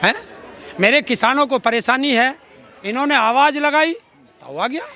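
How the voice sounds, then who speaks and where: Combative, very high-pitched rural Indian man. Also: unhurried, bright, forceful tone. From Uttar Pradesh, India. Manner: combative, rhetorical, sarcastic. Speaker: farmer activist being interviewed. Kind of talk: outspoken street interview. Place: outdoors in a public place. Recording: outdoors with faint crowd behind.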